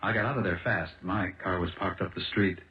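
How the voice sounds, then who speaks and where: A man acting in a 1970s radio drama as an impulsive, fast-talking American car salesman, earnest and halting as he protests his innocence. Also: deep voice, fairly even intonation, lightly acted. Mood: regretful, pleading. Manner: halting, earnest, restarts phrases. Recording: dull lo-fi old radio broadcast.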